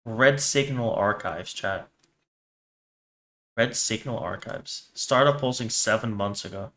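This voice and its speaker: A man's voice: on the low side, slow, fairly bright tone. A man giving casual, off-the-cuff reaction commentary while chatting to his livestream audience.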